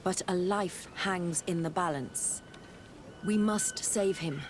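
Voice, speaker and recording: Measured scholar companion for fantasy game: lowish female voice, frank, persuasive, faint rumble behind. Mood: calm, persuasive. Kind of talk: video game cutscene acting.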